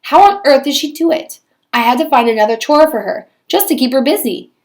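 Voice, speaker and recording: Woman as an exasperated high-voiced storybook stepmother. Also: animated, a little fast, darkish tone. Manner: theatrical, put-upon. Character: exasperated, self-pitying, snobbish storybook stepmother narrating her tale.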